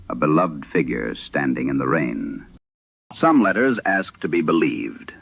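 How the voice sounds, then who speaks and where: A man playing a somber late-night radio horror host, reading eerie tales in a grave, formal, deliberate manner with dramatic pauses, through an old radio filter. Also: a bass voice, creaky, full character voice. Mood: grave, ominous. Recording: narrow-band vintage radio sound.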